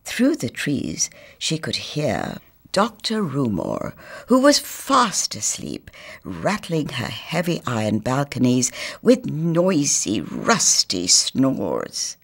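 Slow, animated older Trinidadian woman storyteller. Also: extremely low pitch, husky. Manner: deliberate, theatrical. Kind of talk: expressive children's story reading.

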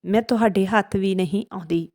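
A woman's voice: mid-range voice, a little fast, a little mellow, lightly acted. A woman voicing a boastful, taunting runaway piece of fried bread in a children's storybook tale.

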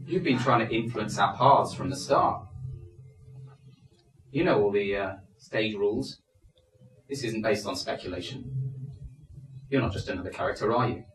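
A man's voice: on the low side, normal speed, pauses often, slight background noise, natural voice. A young man on stage playing a defiant, confrontational character rebelling against his controller, with emphatic theatrical delivery. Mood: accusing. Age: young adult. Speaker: stage actor. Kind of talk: theatrical stage acting, heated dialogue. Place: dark theatre stage, indoors. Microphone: far camera. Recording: echoey stage, distant camera microphone, music behind.

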